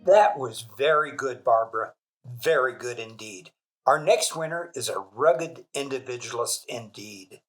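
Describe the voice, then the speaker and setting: An actor playing a formal, drily genial school master of ceremonies in an old radio drama. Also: male voice, medium pitch, measured pace, a bit bright, close to an everyday voice, low-quality recording.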